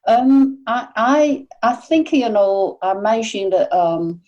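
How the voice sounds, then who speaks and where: Slow, mellow-voiced woman. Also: a little high, lively intonation, dull audio. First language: Chinese. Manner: thoughtful, hesitant with many uhs. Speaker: podcast guest, translator. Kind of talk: casual interview chat.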